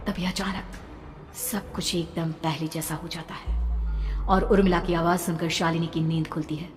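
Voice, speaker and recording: A woman's voice: on the low side, level tone, measured pace, darkish tone, soft background music. A woman telling a horror story as dramatic scripted narration. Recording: long reverb, background noise.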